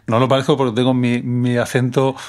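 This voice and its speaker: A man's voice: medium pitch, monotone, fairly quick, a little mellow, clear, resonant tone. Thoughtful middle-aged man with a Spanish accent. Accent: Spanish from Spain. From Menorca, Spain. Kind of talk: reflective conversational interview.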